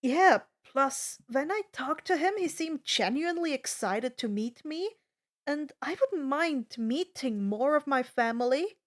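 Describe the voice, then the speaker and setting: A woman's voice: sits high, expressive, measured pace. A woman voicing an earnest teenage demigod boy in a casual, hesitant manner. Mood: eager.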